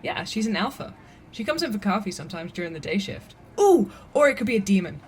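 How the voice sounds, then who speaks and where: An actress as a playful young woman in audio drama dialogue, with a mid-pitched voice. She is breezy, eager and excitable, with faint ambient noise behind her. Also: fast, close to an everyday voice. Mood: casual. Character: excitable young female coworker, playful believer in demons.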